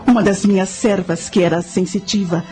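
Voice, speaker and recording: A solemn high priestess of ancient Carthage, played by a woman for a radio drama. She recounts events in grave, formal phrases at a middle pitch, with music underneath. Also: fairly even intonation, normal speed, mellow, lightly acted. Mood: solemn, sorrowful.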